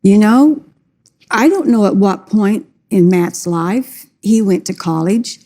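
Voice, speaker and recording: A woman's voice: medium pitch, lively intonation, takes it slowly, dark tone. A woman as a talk show guest, answering in a hesitant, defensive way that trails off. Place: tv studio.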